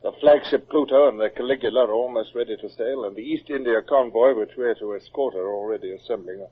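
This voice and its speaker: A man's voice: mid-range voice, fast, husky, lightly acted. An actor playing an anxious, dutiful naval captain in an old radio drama, sometimes hesitant in his speech. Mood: worried, weary. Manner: hesitant, stammering when flustered. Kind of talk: 1950s radio drama acting. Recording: muffled, narrow-band old radio recording.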